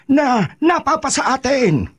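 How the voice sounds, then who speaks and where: A pious old grandfatherly teacher in a radio drama. His voice is extremely high for a man, earnest and insistent, recorded dry and close. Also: big pitch swings, a little slow, a bit bright, husky, no echo, heavily acted. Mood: earnest, instructive. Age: older. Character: devout, patient old male mentor, insistent.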